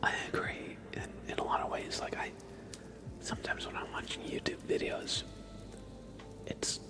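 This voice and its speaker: A man chatting casually as an ASMR livestream host in a soft, breathy whisper, with an informal, halting, rambling way of talking. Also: music in the background. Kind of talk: ASMR, casual live chat.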